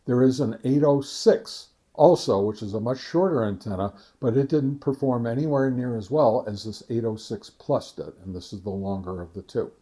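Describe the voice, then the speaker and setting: A man hosting an informal product test, explaining in a halting way with frequent ums. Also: mid-range voice, dark tone. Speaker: ham radio video reviewer, host.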